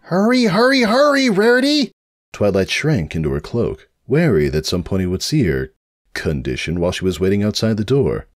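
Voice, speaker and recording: A man's voice: sits low, big pitch swings, a little fast, a little mellow. A man narrating a comic story as a dramatic reader. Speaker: narrator of fan fiction readings. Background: music.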